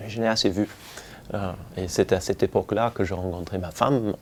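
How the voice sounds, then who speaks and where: A man in his forties from the United States with an American accent, speaking haltingly and searching for words in an interview. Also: low voice, fairly even intonation, a little hiss or hum. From United States, Kentucky. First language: English. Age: 40s. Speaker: winemaker. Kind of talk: relaxed documentary interview, telling life story.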